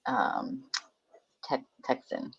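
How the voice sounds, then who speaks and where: A woman's voice: extremely slow, dull audio. A woman from California chatting casually as a co-host, teasing and giggly, jumping in with jokes. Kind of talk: casual chat.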